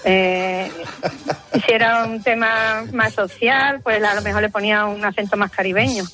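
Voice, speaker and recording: A woman's voice: medium pitch, fairly even intonation, normal speed, sharp tone. A woman with a Spanish accent calls a radio show and cheerfully tells a personal anecdote. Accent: Spanish from Spain. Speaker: radio caller. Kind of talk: phone call on a radio show.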